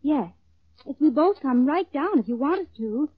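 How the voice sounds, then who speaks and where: High-voiced, scheming crime accomplice woman. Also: lively intonation, moderate pace. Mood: composed, obliging. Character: cool, scheming female murder accomplice. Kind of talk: vintage American radio crime drama acting. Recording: tinny, noisy old-time radio recording.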